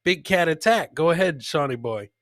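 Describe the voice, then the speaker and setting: Bright-voiced man bantering on a comedy show. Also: a little high, lively intonation, normal speed, no room sound, clean studio sound. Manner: repeats phrases for comic effect; chatty, addresses co-host and live viewers by name. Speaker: comedy podcast host. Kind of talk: casual live clip-show banter.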